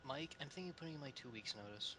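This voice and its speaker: A man's voice: mid-range voice, expressive, very fast, sharp tone, poor audio. A man giving casual comic banter as a podcast panelist. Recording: clear background noise.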